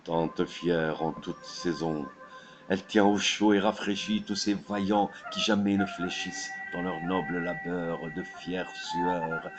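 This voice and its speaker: A man reciting his own poem in a solemn, declamatory way, with measured phrasing. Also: low-pitched, steady tone. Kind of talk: solemn poetry recitation with a dedication. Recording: voice over prominent flute music. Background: flute music.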